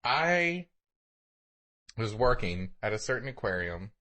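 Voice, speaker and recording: Animated man chatting sarcastically. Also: on the low side, takes it slowly. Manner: sarcastic, swearing freely, animated. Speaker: podcast co-host. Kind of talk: casual chat, anecdotes.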